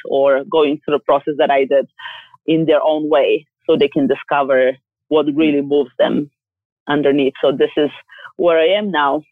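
A woman from Bulgaria with a Bulgarian accent, a yoga teacher and coach talking in a direct, forceful way and laughing often. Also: low voice, no room sound. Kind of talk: casual interview talk. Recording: tinny phone line.

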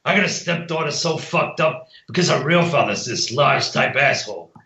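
A man's voice: a little high, animated, normal speed, vocal fry, bright, forceful tone, exaggerated voice. A man playing a bitter, world-weary cop in an emphatic impression of movie dialogue. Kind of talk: film scene read as impression. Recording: slightly dull, roomy sound.

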